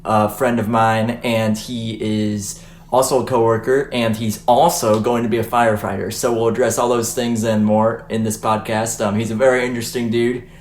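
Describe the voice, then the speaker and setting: A man's voice: fairly low pitch, level tone, moderate pace. A young man hosting a casual podcast, chatting loosely with fillers and restarts. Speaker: podcast host. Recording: echoey room, faint noise.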